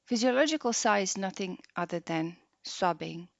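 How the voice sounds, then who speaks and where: A woman guiding a meditation practice softly and unhurriedly, leaving long pauses between short phrases. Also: medium pitch, expressive, gravelly, no echo. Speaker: yoga nidra meditation guide. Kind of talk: slow guided meditation. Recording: dull lo-fi close dry recording.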